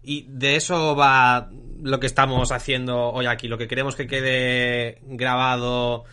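A man in his late twenties with an accent from Spain, co-hosting a podcast in a relaxed, reflective chat from an attic room, sometimes stumbling over words. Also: mid-range voice, unhurried, smooth. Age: 20s. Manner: relaxed, reflective, restarts words mid-sentence. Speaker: podcast co-host. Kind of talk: casual chat.

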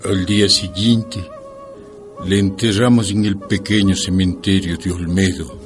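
A man's voice: deep voice, steady tone, unhurried, over music. A man playing a grieving grown son, remembering solemnly in a radio drama. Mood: mournful. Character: grieving grown son recalling his mother's death.

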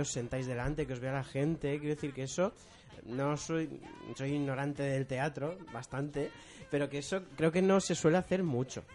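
Male radio host, slightly high voice, playful and unsure interviewer. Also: darkish tone. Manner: playful, hesitant questions. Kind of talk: interviewing guests.

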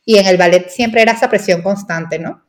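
A woman's voice: mid-range voice, a little fast. A young woman from Venezuela with a Venezuelan Spanish accent, a nutritionist chatting as a podcast guest and peppering her talk with tag questions. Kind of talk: casual interview chat.

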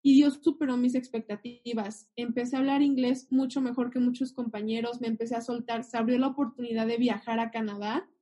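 A woman's voice: a little high, fairly even intonation, a little fast, few pauses, warm tone. A young woman sharing a heartfelt personal faith testimony as a guest. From Mexico. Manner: earnest, heartfelt storytelling.

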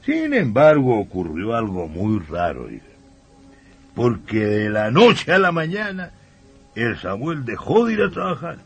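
A man's voice: medium pitch, big pitch swings, a little slow, breathy edge, faint music behind, full character voice. A man playing a chatty, wry country storyteller with a Chilean accent, narrating a radio drama in colloquial, confiding style. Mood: intrigued.

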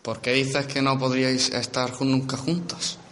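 A young man acting a gentle, loyal teenage boy who pines for his friend, in an amateur radio soap. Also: medium pitch, level tone, normal speed, close to an everyday voice. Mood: concerned. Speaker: student radio drama actor. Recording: reverberant amateur school recording.

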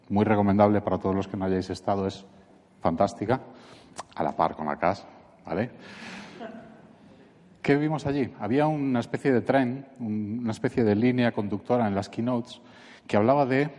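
A man from Barcelona with a Spanish accent, giving a conference talk and acting out quotes in a mocking voice. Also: on the low side. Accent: Spanish from Catalonia. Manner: animated, acts out quotes in a mocking voice. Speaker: conference speaker. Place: conference hall. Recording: echoey conference hall.

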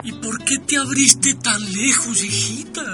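Very high, plaintive Colombian father. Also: measured pace, over music. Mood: tender. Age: 50s. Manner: tender, plaintive. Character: loving, tearful fifty-year-old Colombian father, bewildered. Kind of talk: radio drama acting.

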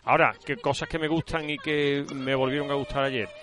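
A man's voice: medium pitch, fairly even intonation, brisk, a bit bright. A man in a casual film discussion, talking emphatically and repeating words to make a point.

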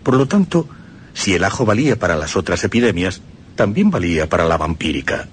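Male radio narrator. Deep and instructive, widely varied intonation. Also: big pitch swings, a little fast, a little hiss or hum. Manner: emphatic, instructive storytelling.

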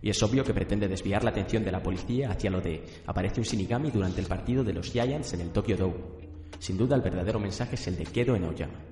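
Man as a low, reverberant young schemer. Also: fast, warm tone, close to an everyday voice. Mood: scheming. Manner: scheming, disdainful. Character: arrogant, calculating young male secret killer. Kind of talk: radio drama acting. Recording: echoey long reverb, faint music.

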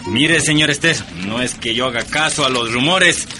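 An actor with an Ecuadorian accent playing a stern, pompous, judgmental school inspector in a radio soap opera. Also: male voice, medium pitch, moderate pace, music in the background, close to an everyday voice. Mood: disapproving. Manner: pompous, disapproving.

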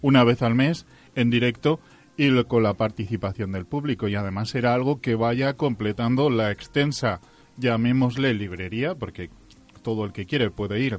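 A man in an unscripted radio discussion, arguing his view in a halting way and stretching out some words. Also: fairly low pitch. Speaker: radio programme participant.

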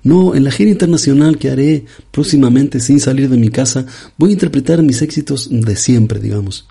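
A man's voice: mid-range voice, fairly quick, vocal fry, warm tone, breathy edge. A man voicing a pompous, absurd aging bolero crooner who is a guest on an interview show, in a comedy sketch. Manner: grandiose, addresses host as young man.

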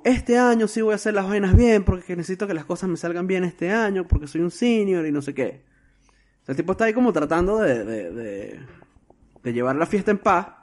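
A man, co-hosting a casual film podcast, retelling scenes with slang, cursing and frequent restarts. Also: high-pitched. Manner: storytelling, swearing freely, many restarts. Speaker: podcast co-host.

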